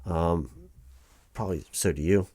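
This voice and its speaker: A man's voice: low-pitched, unhurried, mellow, no room sound. A man playing a flustered, apologetic office clerk in a one-sided ASMR roleplay, hesitant and rambling as he corrects himself. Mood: businesslike, distracted. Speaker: claims paperwork interviewer.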